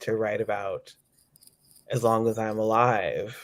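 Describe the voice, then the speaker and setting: A man with a fairly low voice talks reflectively as an interview guest. He is tentative and thoughtful, circling back over his words as he finds the point. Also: fairly even intonation, takes it slowly.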